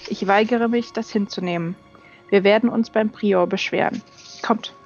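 A woman's voice: medium pitch, steady tone, moderate pace, darkish tone, lightly acted. A woman reading the part of a small, resolute, formal female angel leader in a fantasy audiobook. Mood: indignant. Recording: dull lo-fi sound, music behind.

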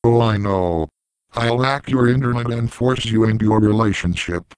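A synthetic male voice playing a menacing, taunting computer error villain in a homemade comedy skit, with deadpan robotic delivery. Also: fairly low pitch, moderate pace, slight background noise, lightly acted.

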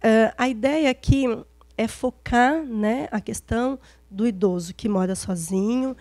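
A middle-aged Brazilian woman, slightly hoarse, giving a conversational public lecture into a handheld microphone, often adding small checking tags. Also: fairly high pitch, a little slow, a little mellow, no echo. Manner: informal, frequent checking tags like "né". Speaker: lecturer, gerontology specialist. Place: event stage before seated audience, indoors.

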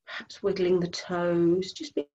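A woman with a slightly low voice guides a body scan meditation. She gives gentle instructions in short, spaced phrases, like a yoga teacher settling a class. The recording is a little dull and lo-fi. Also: level tone, a little slow.

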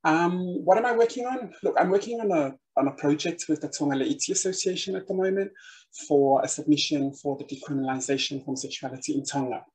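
A woman's voice: sits low, animated, fast talker, darkish tone, rough recording. A middle-aged woman from Samoa with a Samoan accent, giving an earnest prepared talk as a panel speaker over a video call, often repeating words as she searches for them. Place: room with bookshelves indoors. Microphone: webcam on a video call.

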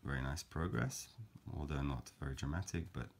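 A man calmly explaining a paper craft step by step, in a gentle, measured way with frequent fillers. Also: rumbling low, creaky, a little hiss or hum. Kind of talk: calm step-by-step craft tutorial.

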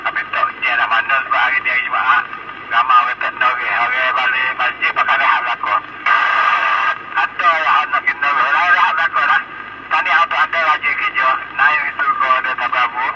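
A man's voice: mid-range voice, fairly even intonation, fairly quick. A man with a Malaysian accent chatting casually over two-way radio as an amateur radio operator. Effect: two-way radio transmission. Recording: distant radio audio, loud vehicle noise.

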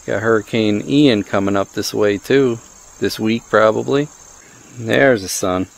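A man's voice: fairly low pitch, unhurried, gravelly. A middle-aged man casually reviewing gear outdoors in a driveway, thinking aloud and cracking dry jokes, recorded on a body-worn action camera. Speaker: product reviewer. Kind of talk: casual hands-on product review.

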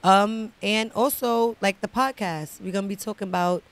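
A woman's voice: medium pitch, normal speed. A young woman guest chatting casually in a small music studio, holding a handheld mic and repeating words for emphasis. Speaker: guest artist. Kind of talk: casual interview chat.

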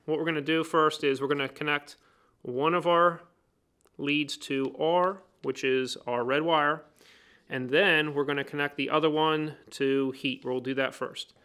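A man with a somewhat raised, smooth and clear voice teaches new technicians at a workbench. He explains each step in plain words and links the steps with "so" and "now.". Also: a little high, fairly even intonation, unhurried, pushed and bright. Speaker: HVAC trainer. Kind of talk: hands-on technical demonstration. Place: indoor workbench.

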